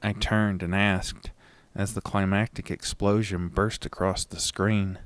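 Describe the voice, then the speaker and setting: A man reading a scripted first-person horror story in a dramatic, deliberate storytelling manner. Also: low-pitched, flat delivery, slight background noise. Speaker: narrator and lead of a horror audio story.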